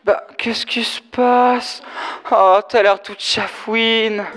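A flamboyant, fashion-mad gossip in a comic audio drama. His high voice stretches slangy exclamations theatrically. It echoes around a large hall. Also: steady tone, fairly bright tone, heavily acted. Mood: cooing, curious. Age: teenager or young adult. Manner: camp, theatrical, drawn-out exclamations. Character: gossipy, flamboyant, fashion-obsessed DJ best friend. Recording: echoey hall, live stage performance.

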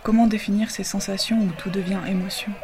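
A woman reciting a poetic text in a calm, measured way, sometimes dropping to a hush. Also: medium pitch, soft background music. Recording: noisy background.

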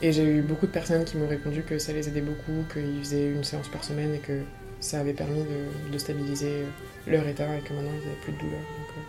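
Young woman, low even voice over music. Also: quick pace, creaky. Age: young adult. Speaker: patient giving testimony. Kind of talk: casual conversational testimony.

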